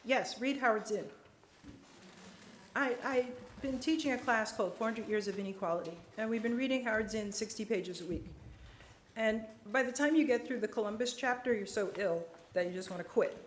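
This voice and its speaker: A woman's voice: on the high side, a little hiss or hum. Earnest woman lecturing in echoey hall. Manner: earnest, measured, slows for emphasis. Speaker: psychiatrist speaker. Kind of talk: lecture and audience Q&A.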